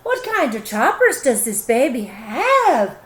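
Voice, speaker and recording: A big, boastful bully of a giant from a storybook, played by a woman reader. He speaks slowly and bluntly, full of bluster. The sound is roomy and echoing. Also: fairly high pitch, very animated. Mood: indignant.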